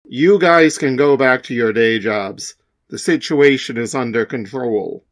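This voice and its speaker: A man's voice: medium pitch, measured pace, lightly acted. A man voicing an arrogant male superhero team leader who talks down to rivals. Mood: dismissive. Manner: condescending, dismissive.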